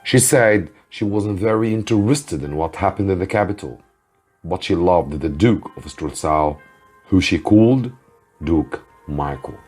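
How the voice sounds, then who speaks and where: A man who teaches English reads a story aloud as narration for learners, with careful, deliberate delivery. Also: low-pitched, lively intonation, a little mellow, breathy edge, faint music behind.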